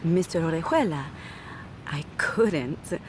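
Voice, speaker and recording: An actress playing a sharp-tongued young woman, a gangster's girlfriend, who talks in a pouty, sassy way in video game cutscenes. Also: deep voice, animated, slow pace, noisy background.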